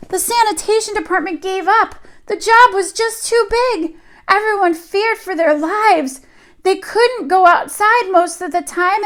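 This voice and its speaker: A woman reading aloud to young children in an expressive storytelling style, with dramatic emphasis. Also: very high-pitched. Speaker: children's story reader, teacher.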